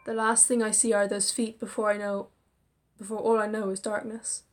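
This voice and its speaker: A woman hosting a gaming video, reading visual novel narration aloud in an expressive, theatrical way. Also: medium pitch, normal speed. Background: game music.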